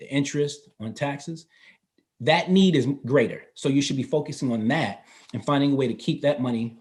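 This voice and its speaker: A man's voice: medium pitch, darkish tone. Laid-back man in his fifties explaining money. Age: 50s. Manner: explanatory, laughs easily, restarts phrases. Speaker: guest explaining finance. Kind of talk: casual interview explanation. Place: North Carolina.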